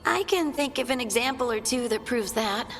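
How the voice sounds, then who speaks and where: A woman's voice: a little high, animated, normal speed, a bit bright, soft background music. A woman playing a sympathetic, tentative companion in a fantasy video game, speaking with wonder.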